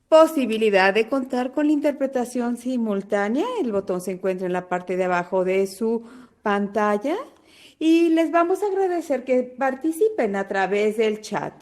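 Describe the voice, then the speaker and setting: An Argentine woman hosting a live online webinar over a video call, greeting attendees one by one in an unhurried way. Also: a little high. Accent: Argentine Spanish. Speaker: webinar host.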